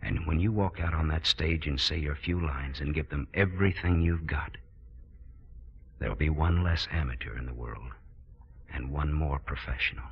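An American actor playing an earnest, gentle theater director in 1940s radio style, with halting, hesitant phrasing. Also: male voice, very deep voice, gravelly, breathy edge, exaggerated voice. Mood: earnest, pleading. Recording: muffled 1940s radio broadcast.

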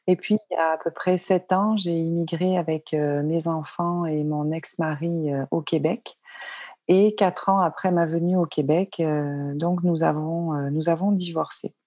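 A woman's voice: low voice, steady tone, a little slow, dark tone, dry recording. A woman in her fifties from France, a coach answering interview questions earnestly, often repeating words and hesitating. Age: 50s. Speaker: coach, guest expert.

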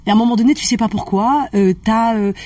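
A woman's voice: a little high, fast, mellow, no room sound, distant mic. A woman practitioner explaining family constellations in casual conversation. She checks in often with her listener. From family of Algerian roots.

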